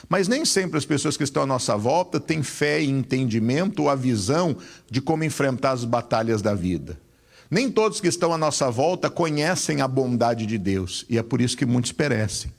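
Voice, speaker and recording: A man's voice: mid-range voice, animated, a little fast, creaky. A pastor preaching a sermon, with sweeping rises and drops in his voice.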